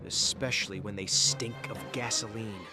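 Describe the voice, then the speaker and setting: A man's voice: fairly low pitch, fairly even intonation, normal speed, loud music behind the voice, natural voice. A man playing a bitter, sardonic young vigilante in a superhero comic dub, taunting as an estranged former sidekick. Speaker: voice actor in a fan comic dub.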